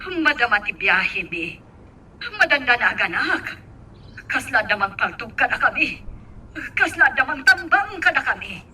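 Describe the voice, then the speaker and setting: A woman's voice: fairly high pitch, very animated, unhurried, pauses often, pushed and bright, far from the mic, slight background noise, heavily acted. A woman acting as an anxious, hesitant, fretful mother in an Ilocano radio drama. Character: anxious, frightened mother on a journey.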